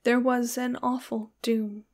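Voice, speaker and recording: Tender, anguished young woman, high and plaintive , acted by a narrator. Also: little pitch movement, takes it slowly, darkish tone. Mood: tender sorrow. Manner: plaintive, pleading, archaic phrasing. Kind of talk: dramatic literary audiobook reading.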